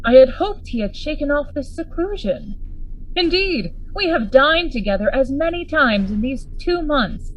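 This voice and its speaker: A woman playing a formal, worried gentleman lawyer and loyal friend in a gothic radio drama. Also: sits high, expressive, measured pace, rough recording. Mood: troubled, reflective. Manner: formal, earnest, theatrical. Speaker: actor in a radio play.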